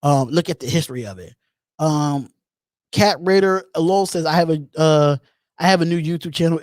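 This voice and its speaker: A man in his thirties hosting a live call-in show on his own, talking casually, repeating phrases and pausing on drawn-out ums while he reads out chat comments. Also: fairly high pitch, darkish tone, dry recording, clean studio sound. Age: 30s. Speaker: host.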